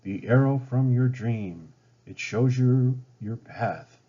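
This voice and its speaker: A man voicing a gentle, wise old female tree spirit in a storybook, soothing and counselling. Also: medium pitch, takes it slowly, husky, dull audio. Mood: calm, guiding.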